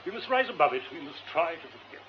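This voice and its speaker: Dramatic stage actor, huge pitch swoops, quick talker, muffled radio play. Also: male voice, big pitch swings, slight background noise. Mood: theatrically consoling.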